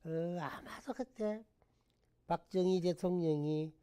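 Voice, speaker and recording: An older man from the Gyeongsang region with a Gyeongsang accent, a guest telling stories about himself in a relaxed talk-show interview, with chuckles and self-deprecating humor. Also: sits high, slow pace, clean tone. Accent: Gyeongsang Korean. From Gyeongsang province, Korea. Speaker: guest, former education official.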